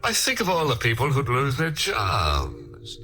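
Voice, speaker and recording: Very sharp, swooping male radio host. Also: mid-range voice, huge pitch swings, unhurried, slight background noise. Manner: mock-macabre, theatrical. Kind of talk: 1940s radio hosting, wisecracking. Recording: old radio broadcast, faint music.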